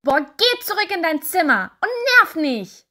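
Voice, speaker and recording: A woman's voice: very high voice, big pitch swings, normal speed, sharp tone, exaggerated voice. A young woman playing a defiant, exasperated sixteen-year-old witch girl in an audio drama. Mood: annoyed. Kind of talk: German audio drama acting.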